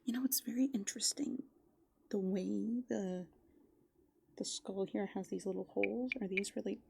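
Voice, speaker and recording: A soft-spoken woman doing rambling, playful ASMR as a raccoon, musing aloud and often slipping into whispers. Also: medium pitch, fairly even intonation, moderate pace, creaky, a little hiss or hum. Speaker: ASMR host. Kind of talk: furry roleplay ASMR, improvised rambling.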